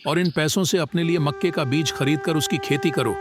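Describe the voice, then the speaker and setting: A man plays a cartoon crow, the sensible elder brother. His voice is a little high, kindly and assured, set over background music. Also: fairly quick, natural voice. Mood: advising.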